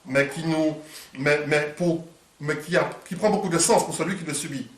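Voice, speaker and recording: A man interviewing, halting with many fillers and restarts, a little stuffed up from a cold. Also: on the high side. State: congested from a cold. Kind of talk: hesitant conversational interview. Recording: echoey room, distant mic.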